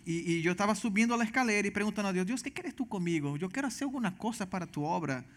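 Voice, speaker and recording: Earnest Brazilian-accented man, slightly high voice. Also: animated. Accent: Brazilian Portuguese. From Venezuela. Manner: earnest, halting, frequent restarts. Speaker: missionary guest. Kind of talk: personal testimony in church interview.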